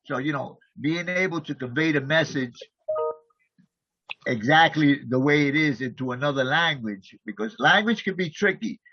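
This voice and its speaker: A man's voice: a little high, lively intonation, normal speed, dry recording, lo-fi sound. A man working in community outreach, speaking on an online call. He explains at length, repeating words as he goes. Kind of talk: online group meeting.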